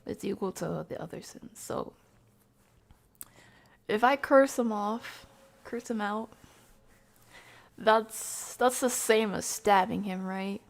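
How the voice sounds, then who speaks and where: A woman's voice: mid-range voice, takes it slowly, vocal fry, pauses often. A woman doing casual live game commentary as a streamer, jokey and slangy, with mild exclamations in place of swearing.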